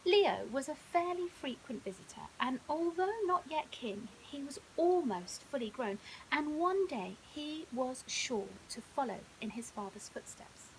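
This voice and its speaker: A woman's voice: sits high, expressive, a little slow, rough recording. A woman narrating a children's bedtime story expressively, like a primary school teacher reading aloud. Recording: hissy background noise.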